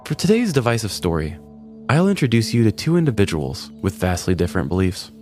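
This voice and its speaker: Low-voiced man telling a wry story over music. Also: big pitch swings, brisk, a little mellow. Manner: wry, mock-serious. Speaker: podcast host and storyteller. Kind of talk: scripted comedic story narration. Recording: music bed behind the voice. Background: background music.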